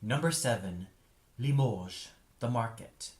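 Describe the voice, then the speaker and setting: A man's voice: mid-range voice, expressive, slow, a little mellow. A man giving dramatic scripted narration as the reader of an audio play.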